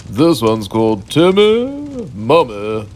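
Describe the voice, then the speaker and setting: A man's voice: mid-range voice, huge pitch swings, slow pace, a little mellow, slight background noise. A British man narrating a mock bedtime story in an avuncular, mock-cosy way.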